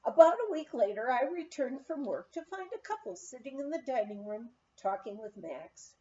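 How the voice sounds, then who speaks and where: High-voiced woman reading a memoir aloud. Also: animated, normal speed, mellow, lo-fi sound. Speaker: audiobook reader. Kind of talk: scripted narration of a humorous memoir.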